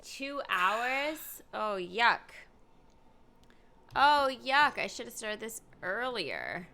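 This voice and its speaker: A woman streamer giving casual, reactive gameplay commentary, expressive and thinking aloud. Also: on the high side, very slow, slight background noise. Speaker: game streamer.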